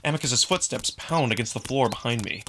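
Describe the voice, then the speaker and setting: Bright-voiced man narrating dramatically. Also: medium pitch, brisk, a little hiss or hum. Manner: expressive, acting out the narrator's feelings. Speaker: narrator, amateur voice performer. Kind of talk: dramatic first-person visual novel narration.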